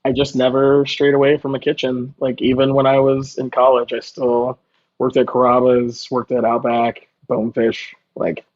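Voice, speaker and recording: Guy chef just chatting, jokey and self-deprecating, medium pitch, bit muffled lo-fi sound. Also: completely flat delivery, moderate pace, darkish tone. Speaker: guest chef. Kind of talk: casual conversational storytelling.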